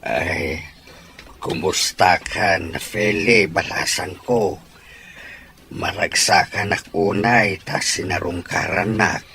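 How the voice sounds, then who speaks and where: A man playing a frail, grateful old father in hospital, speaking slowly and affectionately in an audio drama, his voice laboured. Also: low voice, bright, forceful tone, a little hiss or hum, exaggerated voice. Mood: grateful, weak. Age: older.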